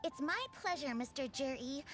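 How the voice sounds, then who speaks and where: An actress voicing a polite, flattering young woman who deals in antiques in a video game, courteous and businesslike. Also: high voice, normal speed, music in the background.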